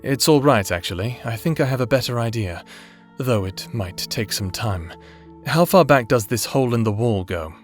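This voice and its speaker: Man acting principled prisoner, earnest, formal, medium pitch, audio drama, faint music. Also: lively intonation, moderate pace, lightly acted. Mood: firm, principled. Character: earnest, principled male prisoner, cautious and determined.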